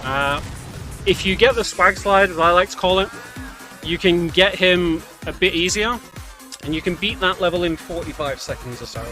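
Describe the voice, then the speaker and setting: A man's voice: high-pitched, moderate pace. A man giving casual commentary on a video game walkthrough as he plays. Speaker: speedrunner commentator. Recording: game music under the voice.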